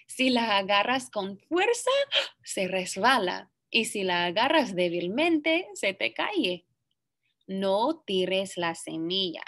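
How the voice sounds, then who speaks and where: A young woman reading a picture book aloud to children, expressive and deliberate in her phrasing. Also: medium pitch. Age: young adult. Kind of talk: children's story read-aloud with brief asides. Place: small indoor classroom.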